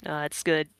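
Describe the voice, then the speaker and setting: A woman's voice: fairly bright tone, no echo. A woman giving casual live commentary as a comic's creator, musing and often giggly.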